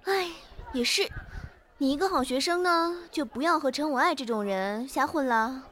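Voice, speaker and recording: A woman's voice: sits high, moderate pace, fairly bright tone. A woman playing a chatty, teasing teenage classmate in an audio drama, casual and nosy. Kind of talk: modern Chinese audio drama acting. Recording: faint background noise.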